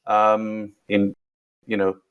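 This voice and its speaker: A man's voice: fairly low pitch, monotone, extremely slow, fairly bright tone, clean tone. A middle-aged South African man with a slightly Americanized accent, telling his career story as a guest on an interview podcast, often restarting and repeating words. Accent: South African, partly Americanized with a British touch. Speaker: guest, tech executive. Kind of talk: casual interview.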